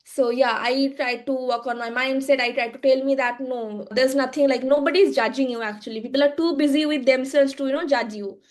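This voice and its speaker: High-voiced reflective woman guest. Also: steady tone. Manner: reflective, frequent "you know" and "like" fillers, halting restarts. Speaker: guest, social media agency founder. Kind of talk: podcast interview storytelling.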